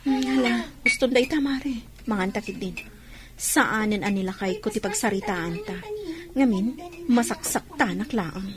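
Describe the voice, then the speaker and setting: An actress with an Ilocano accent playing a resigned, downcast wronged wife in a radio drama. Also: female voice, mid-range voice, normal speed, music in the background, natural voice. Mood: weary.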